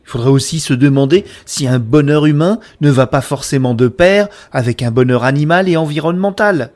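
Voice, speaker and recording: A man playing a passionate sceptic in a scripted science-fiction audio drama, speaking in a serious, deliberate, reproachful way. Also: a little high, darkish tone, lightly acted. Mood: cold, firm. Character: Abel, sceptical passionate man guarding privacy and real feeling. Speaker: voice actor.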